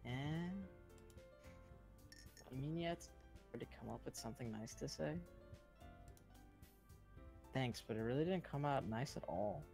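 A man's voice: mid-range voice, expressive, measured pace, vocal fry, pauses often, a little mellow, faint music behind. A man voicing a snarky, bratty anime schoolgirl in a visual novel read live on stream.

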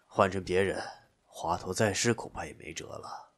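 A man's voice: deep voice, moderate pace, fairly bright tone, slight background noise. An actor voicing a matter-of-fact, reassuring male doctor in an audio drama. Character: plain-spoken male doctor.

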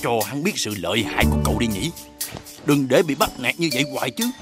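A man's voice: medium pitch, very animated, fast talker, loud music behind the voice, natural voice. A man as a sly, swooping cartoon gecko. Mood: goading, insinuating. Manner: insinuating, coaxing, with theatrical emphasis. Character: sly talking gecko, male, scheming, goading martial mentor. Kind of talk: animated fairy tale voice acting.

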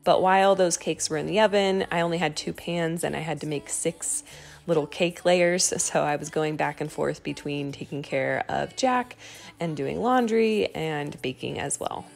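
A woman's voice: low-pitched, normal speed, creaky. An American woman giving a casual recipe voice-over in a conversational, explanatory way, as a home baking vlogger.

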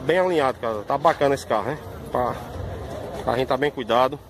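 A man's voice: mid-range voice, fast talker. A man, giving a casual, informal walkaround of cars at an outdoor car fair, filmed on a phone. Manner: chatty, informal, repeats "pessoal". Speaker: car vlogger. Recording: outdoor street noise behind. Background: traffic and crowd ambience.

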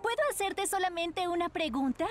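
A woman's voice: very high-pitched, normal speed. A woman voicing a gushing, starstruck teenage girl in a cartoon dub. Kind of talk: animated cartoon dub acting. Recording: loud music behind.

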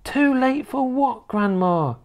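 A woman's voice: high-pitched, animated, takes it slowly, a little mellow, voice pushed up, exaggerated voice. A woman voicing an earnest eight-year-old boy in a children's storybook, plaintive, innocent and objecting. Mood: puzzled.

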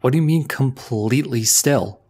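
A man's voice: medium pitch, normal speed, a little mellow, husky, natural voice. A man playing a level-headed, inquiring space crew member in an audio drama.

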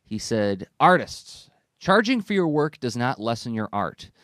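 A man's voice: medium pitch, big pitch swings, measured pace, a bit bright, no room sound. A man, hosting a conversational podcast discussion into a close studio microphone. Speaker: podcast host. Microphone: close studio microphone on an arm, with headphones.

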